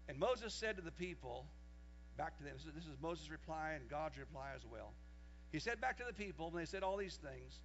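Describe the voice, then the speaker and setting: A man in his sixties preaches in a fairly high voice, reading scripture aloud and building to loud, raised passages when he quotes it. The recording is dull and lo-fi, with a faint mains hum underneath. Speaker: pastor. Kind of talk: sermon.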